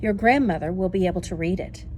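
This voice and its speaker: A woman's voice: lowish voice, fast talker, darkish tone, slight background noise, natural voice. A woman voicing a matter-of-fact mother explaining things in a storybook reading. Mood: informative. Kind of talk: children's storybook read-aloud.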